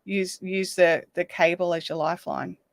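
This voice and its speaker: A woman hosting a craft livestream, chatting casually and reading out viewer comments while she crochets, her voice slightly raspy. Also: fairly low pitch, steady tone, normal speed, dry recording. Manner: chatty, reads viewer comments aloud, counts stitches under her breath. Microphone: desk microphone.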